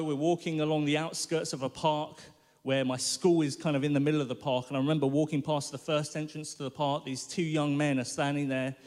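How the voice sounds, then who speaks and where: A man sharing his testimony from a stage, telling an animated story with self-mocking humour. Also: on the high side. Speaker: guest speaker. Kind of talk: personal testimony sermon. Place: large hall or tent at a live worship event. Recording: live hall reverb.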